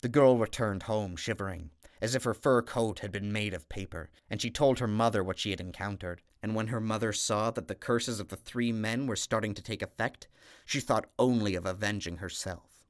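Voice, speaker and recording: Brief: male storyteller, steady fairy tale narration, slowing down for effect. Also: fairly low pitch, no room sound, clean studio sound. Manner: steady storytelling, slows for emphasis.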